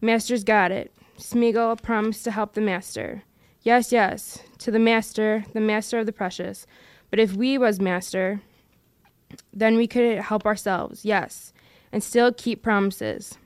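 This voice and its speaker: A woman's voice: mid-range voice, fairly even intonation, unhurried, clean tone, no echo, close to an everyday voice. A woman voicing a wretched, split-minded male creature who wheedles and argues with himself in an audiobook reading. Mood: wheedling. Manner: wheedling, hissing self-argument.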